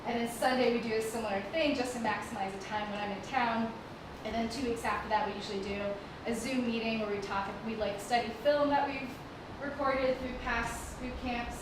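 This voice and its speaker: Woman, chatty live talk, lots of fillers, fairly high voice, echoing hall picked up from a distance. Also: steady tone, fairly quick. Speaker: guest presenter. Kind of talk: casual live talk to an audience. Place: stage in a performing arts hall. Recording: echoey hall from far off, noisy. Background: audible background noise.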